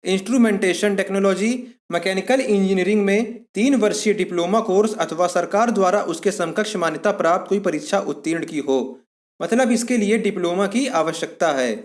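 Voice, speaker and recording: Man, high-pitched voice, exam instructor, explanatory narration, methodical delivery. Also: brisk. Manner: methodical, restates points in simpler words.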